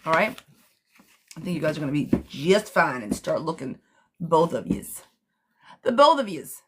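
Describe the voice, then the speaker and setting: A woman with a hoarse, smoky voice, doing a chatty live tarot reading while sick with a cough. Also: low voice, very animated, moderate pace, a bit bright. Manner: chatty, reassuring, calls listeners girlfriend. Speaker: tarot reader.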